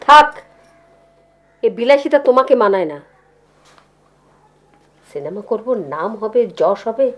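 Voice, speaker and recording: A woman acting as a disillusioned wife who scolds and dismisses. Her voice is high and swings widely in pitch, delivering sharp, dramatic film dialogue. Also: big pitch swings, unhurried, pauses often, lo-fi sound. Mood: scornful.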